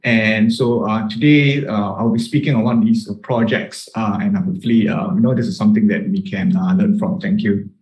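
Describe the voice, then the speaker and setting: A man's voice: lowish voice, fairly even intonation, normal speed, a little mellow, rough recording. A male professor introducing himself on an online panel, friendly with filler uhs.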